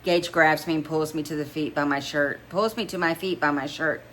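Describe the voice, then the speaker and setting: A woman's voice: low voice, normal speed, fairly bright tone. A woman narrating a first-person story as an amateur reader, stumbling now and then and rereading phrases.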